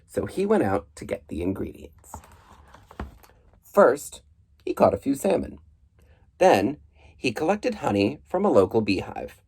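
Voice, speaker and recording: A man reading a children's book aloud in an expressive, playful storybook style, with a husky voice. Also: mid-range voice, measured pace, pauses often. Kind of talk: animated storybook read-aloud.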